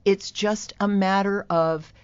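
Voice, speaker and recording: A woman's voice: mid-range voice, steady tone, slow pace, smooth, no room sound, dull audio. A woman on a radio show, earnestly explaining how her employment program helps job seekers and businesses. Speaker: employment program representative, guest. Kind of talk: radio interview.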